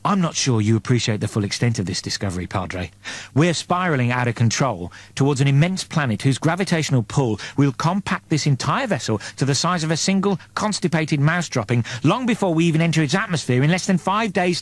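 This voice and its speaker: A man's voice: mid-range voice, no room sound. A man voicing an anxious, sarcastic, exasperated man in a space comedy audiobook, with emphatic, wordy delivery.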